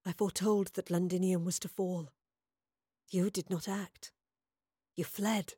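A woman voicing a solemn, accusing female soothsayer in a story, with a husky voice and a grave, prophetic delivery. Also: on the low side, fairly even intonation, unhurried, dark tone, no room sound, clean studio sound. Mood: grave, foreboding.

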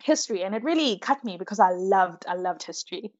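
A woman's voice: mid-range voice, animated, quick pace, a little mellow, highs cut off. A woman in her twenties with a South African accent, chatting casually as an interview guest in a self-deprecating way. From Malawi, grew up in South Africa from about age six. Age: 20s. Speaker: interview guest, science postgraduate student.

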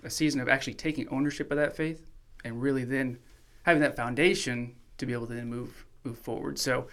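Guy who grew up in mexico, podcast guest, easygoing middle-pitched voice, thoughtful. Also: steady tone, fast, a bit bright. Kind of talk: casual testimony sharing.

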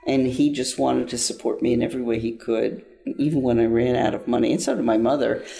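A man's voice: medium pitch, fairly even intonation, fairly quick, gravelly, mellow. An older man giving an interview about his own experience, speaking earnestly with frequent restarts. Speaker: interview guest, nonprofit founder. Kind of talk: interview answers, personal account.

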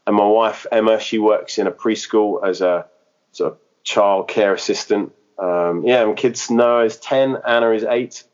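A man's voice: lowish voice, steady tone, measured pace, a little mellow, dull audio. A man who planted a church, speaking in a podcast interview, hesitant and full of fillers and restarts. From England.

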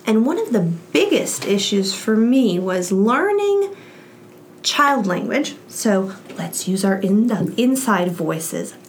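A woman and mother speaking as a podcast guest, talking in animated bursts with lively emphasis. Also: mid-range voice, slow, slight background noise. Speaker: guest, parent. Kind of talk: casual interview chat.

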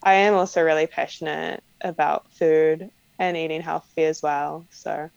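A woman's voice: deep voice, steady tone, normal speed, creaky, no echo. A young woman with a strong New Zealand accent answering interview questions casually, full of "like" fillers and light laughs. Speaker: professional cyclist guest. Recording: slightly dull lo-fi sound.

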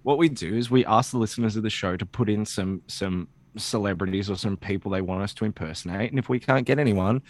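A man's voice: fairly low pitch, quick pace, few pauses. A man co-hosting a podcast in casual, joking banter, swearing freely and riffing as he goes. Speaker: comedy podcast co-host.

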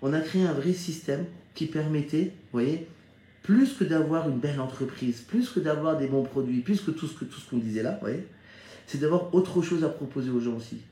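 An echoey recording carries a middle-aged man from France with a soft, mellow timbre. He talks informally like a business mentor sharing his experience. His delivery is conversational, regularly seeking agreement from his listeners. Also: on the high side, moderate pace. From Strasbourg, Alsace, France. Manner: conversational, seeks listeners' agreement with frequent check-ins. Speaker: network marketing leader. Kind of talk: informal motivational talk recounting own career. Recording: echoey, roomy sound.

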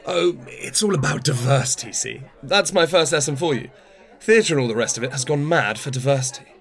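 Fast-talking, garrulous older male playwright. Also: on the high side, expressive, fairly bright tone. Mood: opinionated, patronising. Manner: garrulous, opinionated, wry. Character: self-absorbed older male playwright, rambling, grumbling, patronising. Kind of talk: naturalistic radio play dialogue.

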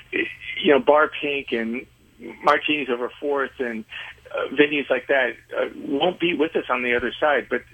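A man being interviewed as a guest on a radio show, explaining things earnestly in halting phrases with repeated words. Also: medium pitch, breathy edge. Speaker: interview guest, independent venue organizer. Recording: tinny phone-like line.

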